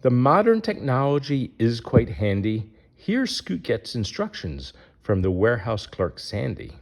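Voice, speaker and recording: A man's voice: medium pitch, very animated, a little slow. A man reading a rhyming children's picture book aloud as its narrator.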